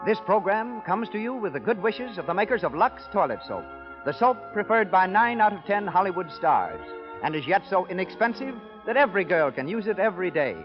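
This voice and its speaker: A man's voice: high-pitched, very animated, brisk. An American man announcing a 1930s radio show with a polished, declamatory delivery. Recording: dull old 1930s radio broadcast. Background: music.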